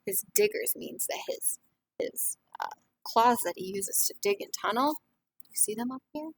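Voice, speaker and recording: A woman with a fairly high voice reads a children's picture book aloud in the manner of an early learning teacher. She steps out of the story to explain things simply and to put questions to her young listeners. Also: very animated, moderate pace, creaky, slightly rough, no echo, poor audio.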